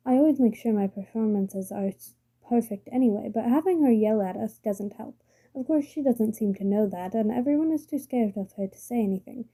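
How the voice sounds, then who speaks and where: A woman's voice: fairly high pitch, a little fast, mellow, slightly rough. A woman with a strained, failing voice reading a story aloud carefully, with small slips. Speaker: story reader.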